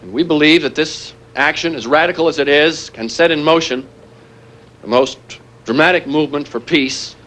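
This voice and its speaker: An actor playing a grave, earnest former weapons planner turned militant, delivering a deliberate televised statement in a realistic drama. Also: male voice, on the high side, a bit bright, close to an everyday voice. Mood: firm, demanding. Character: grave male former weapons planner turned anti-nuclear hostage-taker.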